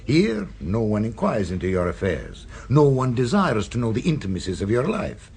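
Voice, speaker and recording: A silky, menacing restaurant owner in an old radio play. The voice sits a little low and carries a husky, breathy edge. He purrs each line and keeps ending on a knowing "eh?". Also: wildly swooping pitch, normal speed, darkish tone, heavily acted. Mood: insinuating. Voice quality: smooth, purring, sinuous delivery. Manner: silky and insinuating, tags questions with "eh". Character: suave, sinister male restaurant owner, middle-aged. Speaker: restaurant proprietor. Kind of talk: radio drama acting.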